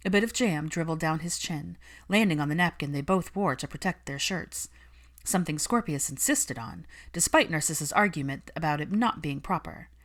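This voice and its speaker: A woman's voice: low-pitched, lively intonation, normal speed, a bit bright. A woman reading scripted fiction aloud as an audiobook narrator.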